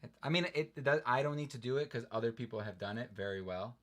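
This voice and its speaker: A man hosting a casual livestream, talking in animated, exasperated, rambling rants. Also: mid-range voice, quick pace.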